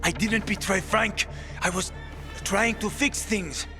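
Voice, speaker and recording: A bitter, cornered apocalypse betrayer. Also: male voice, sits high, slow pace. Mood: agitated, defensive. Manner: sardonic, menacing, curt. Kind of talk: dramatic video game cutscene acting. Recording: music under the voice.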